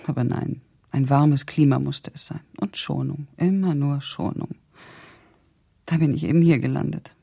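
Educated young russian woman in a radio drama, gravelly soft-dark voice, thoughtful precise storytelling. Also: deep voice, normal speed, exaggerated voice. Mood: wry. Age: young adult. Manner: reflective, precise, descriptive storytelling. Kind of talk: radio drama monologue as recollection.

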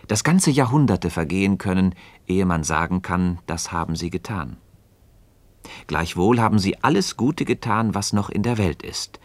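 An actor playing an enigmatic, measured man in a radio play, speaking deliberate, emphatic in philosophical dialogue. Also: low voice, dry recording. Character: enigmatic, measured man hinting at secrets to a friend.

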